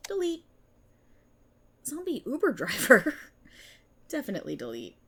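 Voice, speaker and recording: A woman's voice: sits high, huge pitch swings, a little slow, fairly bright tone, pitched above the performer's own voice, heavily acted. A woman performing an ASMR roleplay as a smug, vindictive multiverse police officer, with a theatrical, sarcastic and mocking delivery. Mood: gloating, vindictive.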